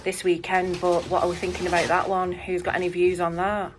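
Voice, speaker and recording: A British woman hosting a casual chat, asking questions in a soft, quiet voice. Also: fairly low pitch, monotone, fairly quick, noisy background. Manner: soft-spoken, light questioning. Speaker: host.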